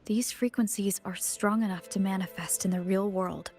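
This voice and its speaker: A woman playing a gentle young adventurer in a video game, speaking softly and earnestly to comfort someone. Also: mid-range voice, steady tone, moderate pace, close to an everyday voice. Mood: gently reassuring. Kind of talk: English video game voice acting. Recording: game audio with music behind. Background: background music.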